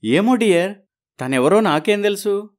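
An actor playing a sly, boastful married man in a cartoon story, smooth-talking and evasive. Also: high voice, animated, unhurried.

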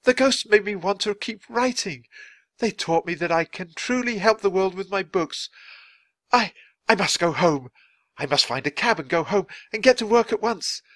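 A man voicing an excitable, fervent male writer in a storybook, exclaiming and stammering when overwhelmed. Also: high-pitched, normal speed, no room sound, pitched above the performer's own voice. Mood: excited, fervent.

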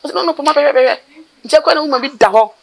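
Middle-aged Ghanaian woman, mortuary worker; rapid, animated storyteller, recorded outdoors on camera. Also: high-pitched, gravelly. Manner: animated, gesturing with her hands, rapid bursts of speech. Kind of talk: storytelling interview. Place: outdoors by a building wall.

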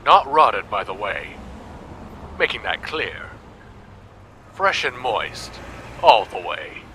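Casting: man to play vulgar bullying boss, piercing forceful delivery, some vehicle noise behind, podcast drama. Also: on the low side, big pitch swings, takes it slowly, exaggerated voice. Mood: crude, smug. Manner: vulgar, brash, blunt. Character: crude, lecherous, bullying male boss. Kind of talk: audio drama acting.

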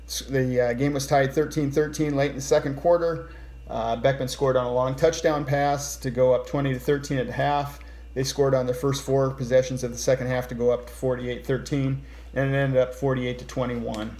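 Middle aged guy reporting on a game, medium pitch, informal recap into his camera. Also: level tone, normal speed, darkish tone. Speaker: sports reporter.

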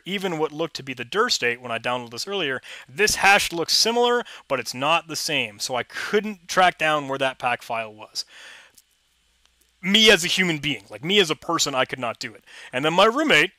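A man's voice: on the high side, lively intonation, moderate pace, bright, forceful tone, no echo. A young man on a headset, casually walking through a coding challenge in a chatty, enthusiastic, rambling way. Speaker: tech tutorial host. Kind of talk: casual screen-recorded walkthrough.

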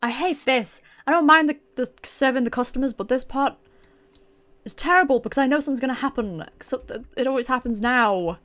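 A woman's voice: high voice, brisk, fairly bright tone. A British woman, a streamer giving chatty, giggly commentary while playing a video game in a casual livestream.

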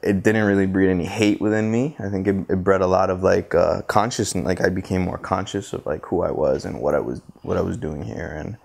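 A man, giving reflective interview answers in a halting, thoughtful way. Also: sits low, steady tone, creaky, a little mellow. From Costa Rica, later United States. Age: 20s. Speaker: interview guest, photographer and visual artist. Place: living room, indoors on a sofa. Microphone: clip-on lapel mic.

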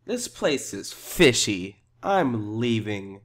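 A man playing a blunt, skeptical, excitable pizza customer in comic roleplay, with an incredulous and theatrical delivery. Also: mid-range voice, slow pace. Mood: suspicious, blunt.

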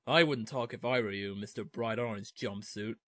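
A man voicing a deadpan, unimpressed referee in a cartoon parody dub. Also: on the low side, moderate pace, no echo, studio quality. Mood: dry, bored. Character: deadpan male exam referee in anime parody.

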